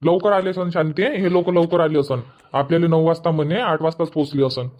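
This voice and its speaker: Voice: mid-range voice, fairly even intonation, brisk, darkish tone, natural voice. A performer voicing an argumentative male farmer in a comic cartoon, with a rustic Varhadi Marathi accent and grumbling manner. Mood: defensive. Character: easygoing but argumentative male village farmer husband. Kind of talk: comic cartoon dialogue dubbing.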